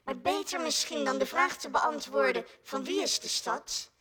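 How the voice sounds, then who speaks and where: Bright high-voiced woman giving a speech. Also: expressive, normal speed. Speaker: politician. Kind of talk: formal public speech.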